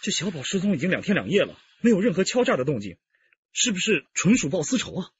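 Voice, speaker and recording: An actor playing an eager, inquisitive young detective with a raspy voice in a radio drama. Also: male voice, high-pitched, sing-song, fast, muffled recording, exaggerated voice. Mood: pondering.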